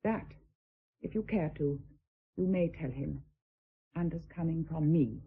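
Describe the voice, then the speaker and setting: Period radio play: dignified, unyielding wife, breathy low register, formal phrasing, very narrow tinny recording. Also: female voice, exaggerated voice. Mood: firm, proud. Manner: measured, formal, resolute. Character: proud, steadfast deserted wife, dignified English noblewoman. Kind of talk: period radio drama acting.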